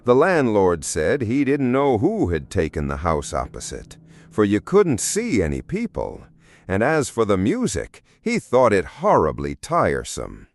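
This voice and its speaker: A man narrating a fairy tale audiobook in an expressive, deliberate storytelling manner. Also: fairly low pitch.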